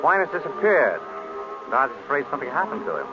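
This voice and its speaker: An actor playing a suave, easygoing, wisecracking gentleman detective in an old radio drama, with breezy, wry delivery. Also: medium pitch, wildly swooping pitch, over music. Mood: dry, bantering. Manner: breezy, wry, unhurried banter. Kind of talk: 1930s radio drama acting. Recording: muffled, noisy old radio recording.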